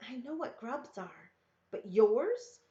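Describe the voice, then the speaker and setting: A curious, plucky country girl from a storybook, voiced by a woman reading aloud. She speaks directly and questioningly, a little high in pitch. The recording sounds slightly muffled. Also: sing-song, takes it slowly, mellow, a little hiss or hum. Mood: puzzled, eager.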